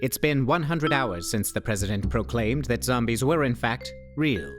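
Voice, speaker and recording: A man acting a nerdy survivalist in a radio play, pompous and pedantic. He talks in stilted, wordy, over-precise sentences at a middle pitch, with faint music behind him. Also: normal speed, natural voice. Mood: solemn log-keeping.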